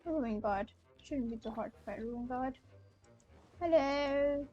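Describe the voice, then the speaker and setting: A woman's voice: high voice, takes it slowly, muffled recording. A young woman from Malaysia chatting casually on a game livestream, hesitant and often repeating herself. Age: young adult. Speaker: game streamer. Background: soft game music.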